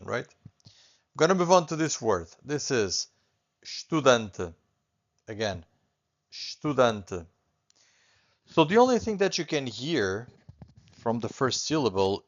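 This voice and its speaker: A man's voice: mid-range voice, fairly bright tone, muffled recording. A Portuguese man with a Portuguese accent, teaching a beginners' language class in a patient, reassuring way that often repeats points and adds "okay?". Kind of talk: online language lesson.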